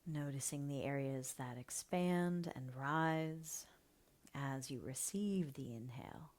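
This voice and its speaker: A woman guides a breathing meditation in a low, gentle voice. She talks very slowly and leaves long pauses. Many phrases trail off into a soft half-whisper. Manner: slow, soft and soothing, often half-whispered.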